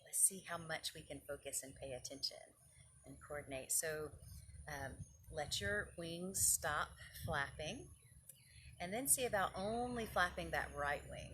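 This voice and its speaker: Lower-pitched woman teaching children's yoga. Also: unhurried, fairly bright tone, a little hiss or hum. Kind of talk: informal instruction and picture-book storytelling for children.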